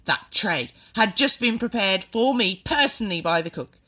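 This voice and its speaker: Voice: medium pitch, expressive, normal speed, voice pushed up. A reader voicing a furious, bullying headmistress who barks and screams insults at a boy. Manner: barking, shouting insults.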